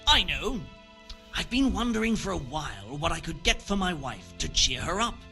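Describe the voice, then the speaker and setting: A man's voice: a little high, big pitch swings, normal speed, creaky, sharp tone, soft background music, heavily acted. A man playing a sly, greedy townsman who commissions thefts in a video game, talking in a knowing, theatrical, wheedling way. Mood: scheming. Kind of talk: dramatic video game dialogue.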